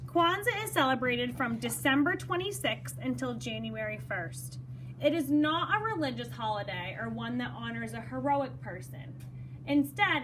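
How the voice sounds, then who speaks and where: A woman with a fairly high, bright voice reads a children's book aloud. Her delivery is expressive and teacherly, as if guiding young listeners through the text. There is noticeable noise behind her. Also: poor audio. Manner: teacherly, pausing to explain words. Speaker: storyteller reading a picture book aloud.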